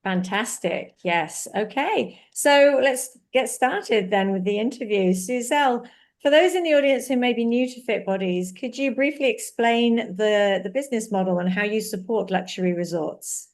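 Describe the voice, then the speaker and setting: A woman hosting a webinar interview over a video call, polite and measured. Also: on the high side. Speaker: webinar host.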